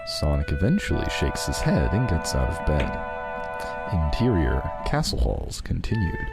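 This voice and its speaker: A man's voice: very deep voice, wildly swooping pitch, moderate pace, vocal fry. A man acting as narrator, reading a fan fiction script aloud in a dramatic style. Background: background music.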